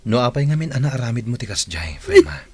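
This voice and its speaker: A man's voice: medium pitch, wildly swooping pitch, fast talker, darkish tone, slightly rough, no echo. An actor playing an honest, hardworking, forgiving male office worker in a radio drama. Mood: hurt, forgiving. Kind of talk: Filipino radio drama acting in Ilocano.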